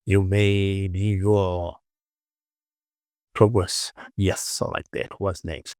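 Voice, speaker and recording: A man giving an informal software tutorial, muttering short clipped instructions to himself and often repeating words. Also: sits low, level tone, drawn-out words, no room sound, studio quality. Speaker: 3D modeling tutorial presenter.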